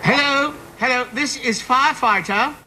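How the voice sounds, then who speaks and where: A man's voice: very high-pitched, normal speed. A man with an English accent playing a bumbling suburban husband in a TV sitcom, hesitant and theatrically flustered. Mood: brisk, impatient. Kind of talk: British TV sitcom acting. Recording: very noisy old TV recording.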